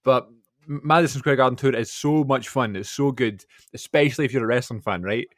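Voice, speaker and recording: A man co-hosting a podcast, telling an excited story in casual chat. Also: medium pitch, no echo, studio quality. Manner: fast, animated storytelling. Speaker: podcast co-host.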